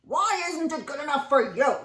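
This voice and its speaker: An irritated storybook caveman acted out by a woman challenges and scolds. The voice is loud and raised in pitch, with swooping intonation, in a roomy space. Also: very animated, moderate pace. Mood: dismissive.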